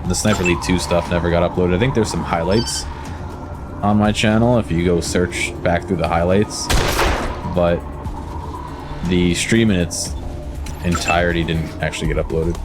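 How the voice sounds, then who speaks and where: A man's voice: low voice, steady tone, normal speed, long pauses. An American man with a slight lisp streaming a video game, chatting casually and answering viewers with lots of hesitant fillers. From United States. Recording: game music playing behind.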